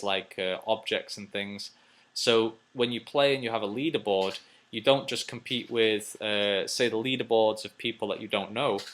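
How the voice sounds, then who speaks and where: Bright, forceful low-voiced man thinking aloud. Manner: rambling, thinking aloud, repeats phrases. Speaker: video host answering viewer questions. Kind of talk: casual unscripted advice talk.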